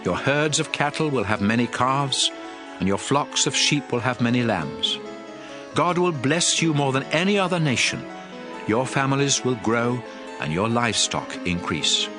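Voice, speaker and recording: A stern, fervent prophet played by a man proclaims scripture to his people. His mid-pitched delivery rises and falls dramatically, solemn and emphatic, over a clear bed of music. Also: expressive, moderate pace, lightly acted. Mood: fervent, exalting. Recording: music under the voice.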